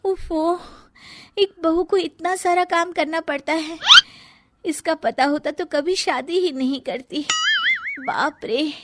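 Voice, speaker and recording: A woman's voice: very high voice, slow, loud music behind the voice, pitched above the performer's own voice. A woman voicing a young shape-shifting snake woman who is a polite new bride, talking in a dutiful, easily flustered way. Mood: tired.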